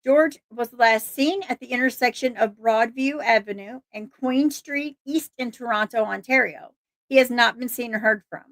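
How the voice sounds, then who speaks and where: A somewhat high-pitched woman hosts a casual livestream, reading flyers and chatting with viewers. Her voice is a little hoarse and she laughs easily, stumbling and restarting words. The sound is dry and close, with no room echo. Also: normal speed, fairly bright tone. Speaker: livestream host reading missing persons flyers.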